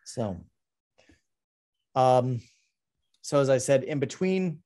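A middle-aged man talking casually about his artwork on a video call, halting with many ums and restarts. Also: mid-range voice, animated, mellow. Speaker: artist presenting his work. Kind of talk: casual talk over a slide presentation.

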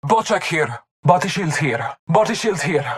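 Solemn hunter warrior, acted by a man, higher-pitched. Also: animated, moderate pace, natural voice. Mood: terse, alert. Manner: solemn, formal, ceremonial phrasing. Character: solemn, devout hunter warrior of the old gods. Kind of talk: video game character callouts.

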